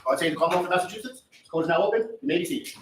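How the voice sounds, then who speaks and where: A male court officer reciting the formal opening proclamation of a court session in a rapid, ceremonial manner. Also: sits high, slight background noise. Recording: echoey courtroom audio.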